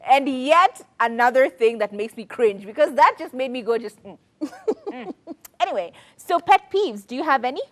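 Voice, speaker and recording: A woman's voice: high voice, animated, moderate pace. A woman in her twenties hosting a casual talk show, playful and joking as she asks her co-hosts questions. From Kenya. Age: 20s. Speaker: show host. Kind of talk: casual chat.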